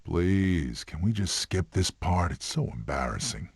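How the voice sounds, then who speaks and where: A man's voice: sits low, lively intonation, unhurried, creaky, a little hiss or hum, exaggerated voice. A man voicing a grumbling, sarcastic cartoon animal who heckles a storyteller with dry exasperation. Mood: exasperated. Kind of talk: animated film character acting.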